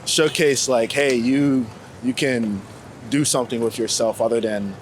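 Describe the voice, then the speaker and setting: A man's voice: mid-range voice, steady tone, unhurried. A young American man from Florida talking casually and candidly in an interview, with informal, slangy speech. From United States, Florida. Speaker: street photographer being interviewed. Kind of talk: casual reflective chat. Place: outdoors on New York city streets. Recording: outdoor street noise with passing vehicles. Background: city traffic ambience.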